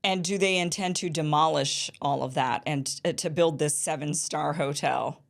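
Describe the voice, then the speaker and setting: A woman with a notably deep, low voice hosts an interview. She asks curious, quick follow-up questions, sometimes stumbling over her words. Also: vocal fry. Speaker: host.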